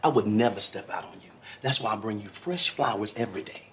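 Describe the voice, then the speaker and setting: An actor playing a smooth-talking, evasive preacher husband in a screen drama, coaxing and reassuring his wife. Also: high voice, lively intonation, a little fast, slight background noise. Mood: placating.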